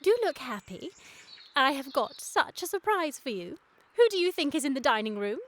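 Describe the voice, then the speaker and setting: Sweet girlish posh english girl, high voice, super animated, radio comedy. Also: moderate pace, slight background noise. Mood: excited, pleading. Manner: girlish, poised, candid. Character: sweet, artless, quick-witted upper-class English girl. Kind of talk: period stage comedy radio acting.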